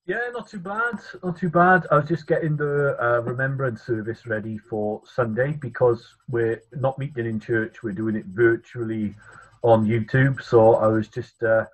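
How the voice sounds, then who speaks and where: Welsh man, priest, husky voice, chatty with frequent "uh" pauses, laptop webcam sound. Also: medium pitch, low-quality recording. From Wales. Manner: chatty, self-deprecating, many filler pauses. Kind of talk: school assembly talk. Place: home study, indoors. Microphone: laptop webcam on video call.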